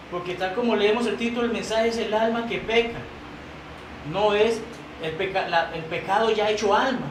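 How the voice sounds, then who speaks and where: A man preaching a sermon in a church, earnest and emphatic, reading quoted doctrine aloud and stumbling into restarts now and then. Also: high-pitched. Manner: earnest, rising to emphatic repetition. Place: church sanctuary. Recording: roomy church sound, mic at a distance, noisy.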